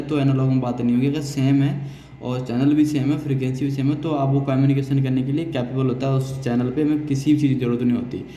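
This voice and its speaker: A man's voice: medium pitch, mellow. Indian man teaching in an echoey room. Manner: step-by-step explaining, repeats key phrases. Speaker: technical instructor. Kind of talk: hands-on spoken software tutorial. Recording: echoey reverberant room, noisy, distant sound.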